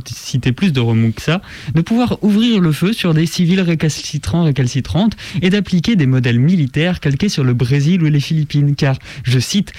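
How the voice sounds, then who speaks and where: A man's voice: a little high, darkish tone, dry recording. A man presenting scripted political commentary on the radio with a sardonic, critical edge.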